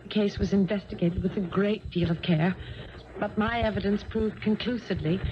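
A woman's voice: medium pitch, steady tone, moderate pace, close to an everyday voice. A woman as an anxious, genteel young heiress, muffled. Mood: sombre, earnest. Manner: earnest, proper, formal. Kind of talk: 1970s radio drama acting. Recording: muffled, noisy 1970s radio recording.

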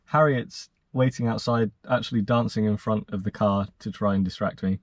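A man's voice: fairly low pitch, fairly even intonation, normal speed, dry recording, studio quality. A young man chatting to camera in a casual, upbeat travel vlog, recorded inside a parked car with a dashboard camera. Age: young adult. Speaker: vlogger.

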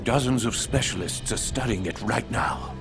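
A man playing an older intelligence director in a video game, formal, composed and deliberate. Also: medium pitch, vocal fry, music in the background, natural voice.

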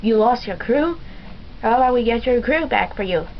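A woman's voice: on the high side, lively intonation, moderate pace, slight background noise. A woman doing a chirpy cartoon sea sponge. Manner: bright, chirpy and upbeat. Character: cheerful boyish sea sponge, eager. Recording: dull lo-fi game capture with music.

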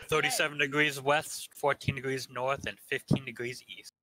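A man's voice: mid-range voice, level tone, moderate pace, pushed and bright, dry recording, rough recording. A man narrating a visual novel in a staged reading, with casual asides.

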